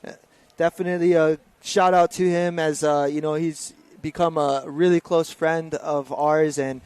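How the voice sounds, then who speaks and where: A man calling outrigger races live in a somewhat high voice. He talks in a relaxed, rambling way, filling gaps with fillers and catching his own mistakes as he goes. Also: normal speed, clean tone, no room sound. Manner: relaxed, loose delivery with many fillers and self-corrections. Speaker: race commentator. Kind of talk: live race commentary. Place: outdoor race venue broadcast.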